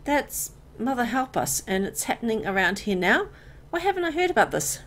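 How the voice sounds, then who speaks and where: A woman playing an anxious, uneasy young woman in a horror visual novel, hesitant and expressive. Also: fairly high pitch, moderate pace. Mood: worried. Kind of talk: visual novel dialogue and first-person narration.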